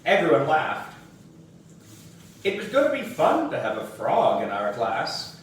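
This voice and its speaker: A man narrates a children's storybook slowly and deliberately. His intonation is very lively and expressive. The recording is very echoey, away from the mic. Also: fairly high pitch. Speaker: book reader. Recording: very echoey room, distant mic, faint noise.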